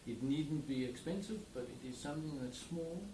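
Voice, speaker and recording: A man from South Africa who speaks Afrikaans as his first language, talking about his wine estate in an unhurried, explanatory way with an accent. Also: fairly high pitch. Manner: unhurried, explanatory, with many fillers. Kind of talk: casual interview. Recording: roomy, distant mic, clear background noise.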